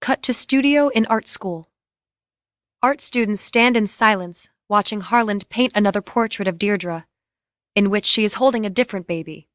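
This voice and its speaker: A woman's voice: medium pitch, a bit bright, no echo. Woman reading screenplay directions, very dry recording. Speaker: narrator reading stage directions. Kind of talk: dramatic reading of a screenplay, scripted narration.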